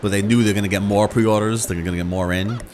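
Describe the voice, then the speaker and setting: A man hosting a gaming channel, talking casually and off the cuff in a rambling, halting way with repeated words. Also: on the low side, fairly even intonation, a little mellow, a little hiss or hum. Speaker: YouTube gaming channel host. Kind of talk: casual, unscripted chat to viewers.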